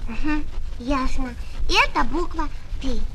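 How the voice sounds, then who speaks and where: A woman's voice: sits high, slow, bright, forceful tone, heavily acted. An actress playing a stubborn, naive little girl pupil in a children's audio play, earnest and plaintive, on a very noisy old record. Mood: earnest, puzzled. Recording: very noisy 1970s flexi-disc record.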